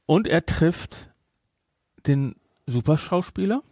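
A man's voice: on the high side, lively intonation, slow pace, a little mellow, slightly rough, no echo. A man hosting a podcast, chatting casually about a film with sarcastic, incredulous asides. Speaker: podcast host. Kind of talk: casual film discussion chat.

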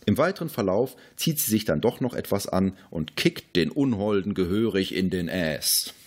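A man with a fairly low, raspy voice. He speaks as a podcast co-host discussing film, in a style between casual chat and prepared narration. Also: expressive, moderate pace.

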